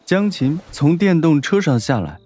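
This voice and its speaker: A man's voice: a little high, big pitch swings, normal speed, darkish tone, faint music behind. A man reading a novel as a dramatic scripted narration. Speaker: audiobook narrator.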